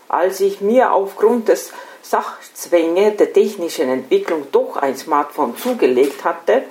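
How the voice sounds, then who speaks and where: A middle-aged woman from Estonia with an Estonian accent, a non-native speaker reading a scripted lecture in a formal, deliberate way. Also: medium pitch, a little mellow. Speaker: lecturer, special-needs educator. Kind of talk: scripted lecture read aloud. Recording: echoey room sound.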